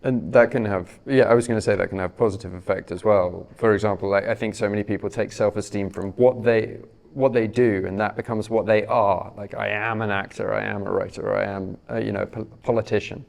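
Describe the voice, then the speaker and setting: A man interviewing an author onstage, measured and searching for his words. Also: on the low side, fairly even intonation, gravelly, darkish tone. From Britain.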